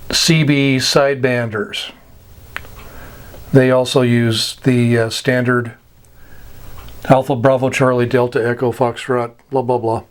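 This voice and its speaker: An American man giving an informal instructional talk, plain-spoken and pausing between short phrases. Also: mid-range voice, smooth. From United States. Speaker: amateur radio instructor. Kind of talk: informal slide lesson explaining radio slang.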